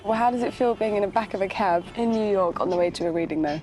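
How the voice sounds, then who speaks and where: A woman's voice: mid-range voice, quick pace. A woman chatting casually in an inquisitive, talkative manner. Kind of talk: casual chat. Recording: loud music behind the voice. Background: music.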